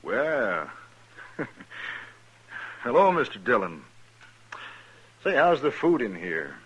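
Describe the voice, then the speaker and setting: An actor playing a smug outlaw gang boss in a 1950s radio western, mockingly polite and taunting with a drawl. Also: male voice, mid-range voice, very animated, slow, a bit bright, slightly rough, dull audio, exaggerated voice. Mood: mock-friendly.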